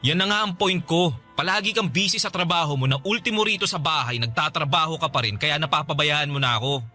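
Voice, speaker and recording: A devoted young boyfriend in a radio drama. He is on the high side with a bright, tense tone, coaxing and needy, with music underneath. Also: fast. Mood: pleading, frustrated. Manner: coaxing, complaining. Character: young live-in boyfriend, devoted, pleading, wanting attention. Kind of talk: Tagalog radio drama acting.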